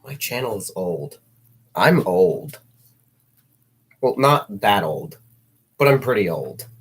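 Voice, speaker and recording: A man's voice: on the low side, very animated, slow, husky. A teenage boy chatting on a livestream, rambling and self-mocking with jokey asides. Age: teens.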